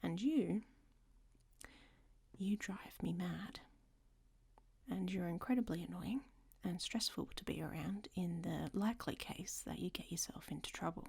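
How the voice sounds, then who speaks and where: A worldly, wry woman traveler, voiced by a woman in an audio roleplay, teasing and often dropping to a half-whisper. Her voice is low, husky and gravelly, and she takes her time over every word. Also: unhurried, mellow, exaggerated voice. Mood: teasing tender. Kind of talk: audio roleplay addressed to the listener.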